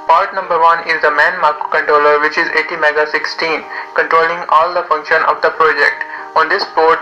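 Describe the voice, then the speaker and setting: A man reading scripted technical narration and device prompts in a measured, instructional way. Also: fairly high pitch, sharp tone, low-quality recording.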